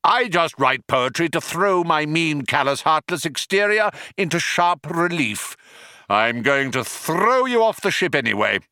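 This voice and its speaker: A man voicing a callous alien captain and would-be poet in a slow, menacing, grating voice for a storybook. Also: lowish voice, wildly swooping pitch, gravelly, sharp tone, no echo, clean studio sound, exaggerated voice. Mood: cold anger. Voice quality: whirring, grating voice.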